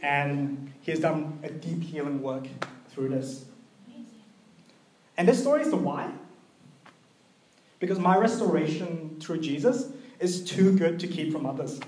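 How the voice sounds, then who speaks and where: A young man giving a conversational sermon, earnest and personal, with a gently hesitant delivery. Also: a little high, animated, unhurried, long pauses, echoey room, a little hiss or hum, low-quality recording. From New Zealand and Malaysia. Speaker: preacher.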